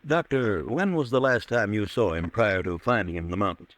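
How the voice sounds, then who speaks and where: A man acting a measured district attorney's investigator in an old-time radio drama, formal and methodical. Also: on the low side, fairly bright tone, no room sound, lightly acted. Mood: probing. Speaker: radio actor.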